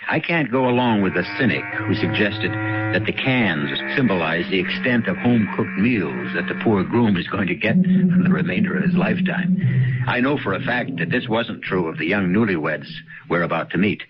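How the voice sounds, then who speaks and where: A man hosting and narrating a radio drama in a wry, measured storytelling manner. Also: lowish voice, big pitch swings, over loud music. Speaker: host and narrator. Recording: dull lo-fi old radio broadcast.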